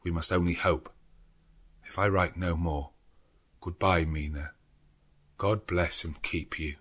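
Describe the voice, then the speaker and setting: A male narrator acts a desperate young English husband in a gothic audiobook. His voice is low and husky, with an earnest, urgent delivery of each diary line. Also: fairly even intonation, slow, a bit bright, full character voice. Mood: anxious, urgent.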